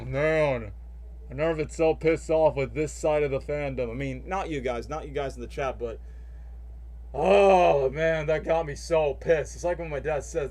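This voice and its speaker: A man ranting and chatting casually as a live streamer before reacting to cutscenes, rambling with lots of fillers. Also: fairly high pitch. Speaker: streamer and voice actor.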